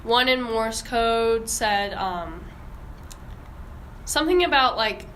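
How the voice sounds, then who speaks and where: A woman's voice: a little high, animated, takes it slowly, fairly bright tone, slight background noise. A young woman hosting a casual podcast discussion in an informal, hesitant way. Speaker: podcast host.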